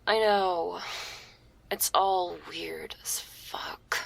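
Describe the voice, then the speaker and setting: A woman playing a laid-back, teasing but caring stoner werewolf girl in an intimate ASMR roleplay, breathy and often near a whisper, with casual crude slang. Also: lowish voice, steady tone, extremely slow, exaggerated voice. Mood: wry, apologetic.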